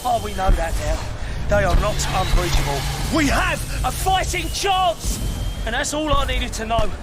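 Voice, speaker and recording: An actor playing a brash, excitable soldier in a radio drama, colloquial and exclamatory with a bitter, fatalistic streak. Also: male voice, extremely high pitch. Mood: fired up. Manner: exclamatory, colloquial, drawn-out shouts. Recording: loud music over the voice.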